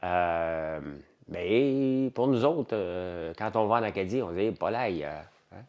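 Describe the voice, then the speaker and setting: A man speaks in a low voice with a strong Quebec French accent. He hosts an informal livestream, talking off the cuff to viewers. His delivery is colloquial and blunt, and he tends to ramble and repeat his phrases. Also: slow, muffled recording. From Quebec, Canada. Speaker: livestream host. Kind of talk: casual livestream chat with viewers.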